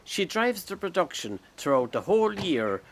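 An Irish man in his sixties narrates a documentary from a script. His voice sits a little high, and his phrasing is flowery and promotional. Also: very animated, moderate pace, vocal fry, slight background noise.

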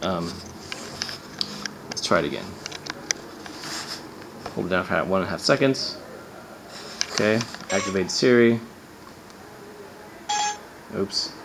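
A man's voice: deep voice, lively intonation, slow, long pauses, background noise. A man giving a casual hands-on gadget demo as a reviewer, offhand and sardonic, swearing and sounding exasperated.

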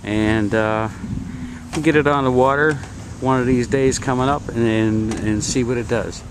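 A man narrating his homemade build in a casual, halting way, filming outdoors in a backyard. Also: mid-range voice. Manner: halting, many uh fillers, matter-of-fact. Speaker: DIY builder. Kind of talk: casual show-and-tell narration. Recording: noisy outdoor camera audio. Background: wind and outdoor ambience.

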